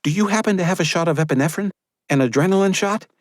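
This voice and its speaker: A man's voice: sits high, fast talker, dry recording, studio quality, voice pushed up, lightly acted. A man voicing a woman who delivers a baby under pressure, urging and commanding her patient. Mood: urgent. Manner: urgent, pleading, commanding.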